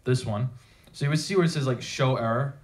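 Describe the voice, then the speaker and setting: Guy doing a tech meetup talk, medium pitch, casual but emphatic, asks the room rhetorical questions. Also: a little fast. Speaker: conference speaker. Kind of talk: technical presentation to a live audience. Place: theater at a developer meetup.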